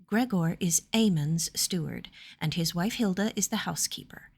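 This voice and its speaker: A woman narrator voicing a gentle, anxious young noblewoman in a fantasy story, speaking softly and earnestly. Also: on the low side, moderate pace, vocal fry, slightly rough. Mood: firm, earnest.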